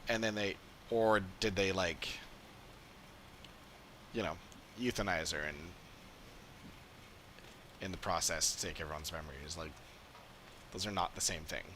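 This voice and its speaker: A man's voice: low-pitched, a little slow, pauses often, sharp tone, a little hiss or hum. A man doing casual livestream commentary as he plays a game, musing and rambling as he thinks aloud. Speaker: game streamer.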